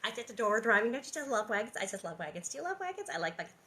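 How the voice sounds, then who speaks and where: A woman voicing a gushing, giggly young girl who chatters without stopping, breathless and high-pitched. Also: sing-song, very fast, fairly bright tone, slight background noise.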